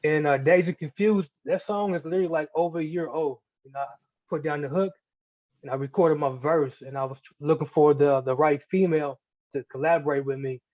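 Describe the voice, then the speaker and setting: A man's voice: fairly high pitch, steady tone, a little fast, no echo. Slangy man on a phone-like line. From Germany and Missouri, USA. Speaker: guest, hip hop artist and producer. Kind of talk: casual interview chat. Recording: narrow, tinny phone-like call audio.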